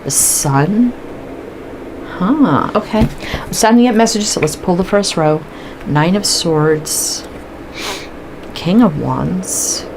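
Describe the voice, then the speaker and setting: Low-voiced stuffy woman reading tarot. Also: expressive, slow, pauses often, noisy background. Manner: chatty, thinks aloud while pulling cards. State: stuffy from allergies, sniffling. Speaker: tarot reader. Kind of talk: casual tarot card reading to camera.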